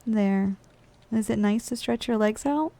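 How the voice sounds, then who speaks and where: A woman's voice: fairly high pitch, unhurried, mellow. A woman playing a weary, snack-craving giantess office worker in a chatty, teasing, conversational ASMR roleplay monologue. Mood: friendly, wistful.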